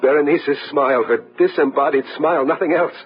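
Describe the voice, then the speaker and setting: An actor playing a haunted, overwrought widower in an old-fashioned gothic radio drama, speaking haltingly and repeating himself. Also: male voice, a little high, close to an everyday voice. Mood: haunted, horrified. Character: haunted, nerve-shattered widower in gothic horror. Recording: muffled radio drama recording, music behind.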